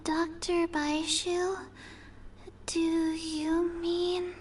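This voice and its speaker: A woman's voice: very high voice, level tone, drawn-out words, slight background noise, heavily acted. A woman voicing a small, obedient undead girl apprentice in an anime video game. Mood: hesitant, dutiful.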